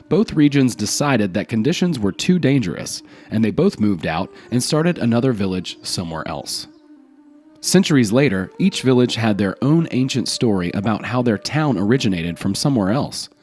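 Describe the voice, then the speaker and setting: A man's voice: lowish voice, sing-song, normal speed, darkish tone. A man narrating scripted folk tales as a storyteller.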